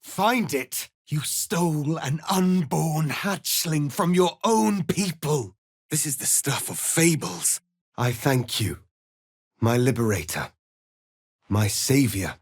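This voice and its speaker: A man playing a proud, solemn alien warrior prince in a fantasy video game, speaking in a formal, commanding, deliberate way. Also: fairly high pitch, expressive, breathy edge, no room sound, clean studio sound, heavily acted. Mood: fierce, rousing, then grave, weary. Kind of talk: dramatic fantasy game acting.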